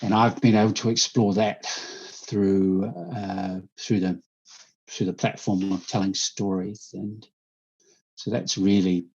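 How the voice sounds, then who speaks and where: A man from New Zealand, a guest storyteller, answering interview questions thoughtfully, halting often with fillers and restarts, speaking over a video call. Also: lowish voice, little pitch movement, a little mellow, husky. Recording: dull lo-fi remote audio.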